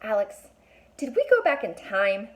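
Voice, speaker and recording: A woman voicing an excitable, anxious young twin boy in a storybook, exclaiming and incredulous. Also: mid-range voice, unhurried. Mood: anxious, wondering.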